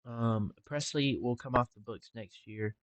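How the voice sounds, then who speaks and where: A young man talking casually over a game with a congested, stuffy nose and a relaxed, rambling manner. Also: mid-range voice, measured pace, dry recording. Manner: relaxed, rambling with filler ums. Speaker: gaming channel host. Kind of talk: casual gameplay commentary.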